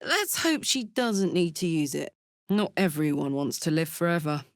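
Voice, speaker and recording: A woman's voice: low voice, sing-song, normal speed, no room sound, studio quality. A woman playing a brash, big-hearted, battle-hardened tiefling warrior in a video game, blunt, sweary and matey. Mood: earnest. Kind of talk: video game character voice lines.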